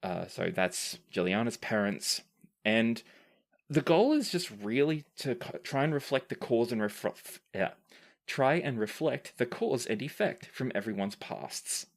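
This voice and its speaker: A woman's voice: extremely low pitch, lively intonation, a little slow. A woman hosting and moderating a casual podcast question session as its game master.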